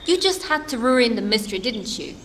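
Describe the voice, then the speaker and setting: A woman's voice: on the high side, very animated, brisk, slight background noise. Woman as an earnest fairytale storyteller, faint ambience. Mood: irritated. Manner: dramatic, evocative. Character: earnest, atmospheric female storyteller, easily irritated by interruptions. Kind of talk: scripted fairytale narration.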